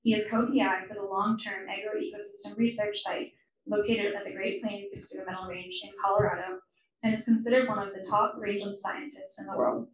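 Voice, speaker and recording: A woman's voice: medium pitch, flat delivery, a little fast, a crowd in the background. A woman reads a formal introduction for a guest speaker. She sounds far from the mic in an echoey room, with an audience audible around her. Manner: formal, read from a script. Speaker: event host introducing the speaker. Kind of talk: reading an introduction. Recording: echoey room audio from a distance with audience noise.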